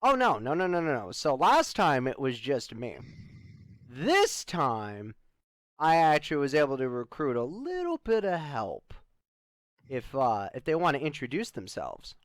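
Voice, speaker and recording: A man's voice: medium pitch, wildly swooping pitch, measured pace, no echo, clean studio sound. A man playing a wry spaceship adventurer in loose, improvised sci-fi roleplay, joking and stretching out his lead-ins. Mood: wry, explaining.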